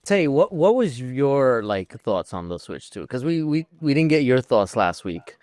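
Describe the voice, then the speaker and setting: A man's voice: medium pitch, big pitch swings, measured pace, darkish tone, no room sound. A man co-hosting a podcast, chatting informally and bluntly. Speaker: podcast co-host. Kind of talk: casual chat.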